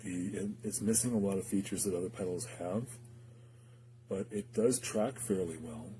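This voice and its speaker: A man's voice: deep voice, normal speed, dark tone, slight background noise, low-quality recording. A man giving a casual, unscripted gear demonstration, speaking hesitantly and thinking aloud as he goes. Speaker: gear reviewer demonstrating a guitar effects pedal. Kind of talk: casual, unscripted product demo talk.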